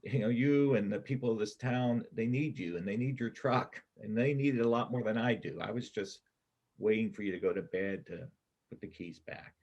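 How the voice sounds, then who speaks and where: A man playing a doubtful, wistful bank robber on the run in a reader's theater play, speaking in a hesitant, halting way. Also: on the low side, a little mellow, breathy edge, highs cut off. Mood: regretful. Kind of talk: reader's theater stage acting.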